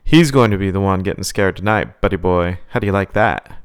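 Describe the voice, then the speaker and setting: A man voicing a bold, determined wife who speaks in a sharp, insistent, raised tone. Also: low voice, a little fast, clear, resonant tone, close to an everyday voice. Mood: defiant.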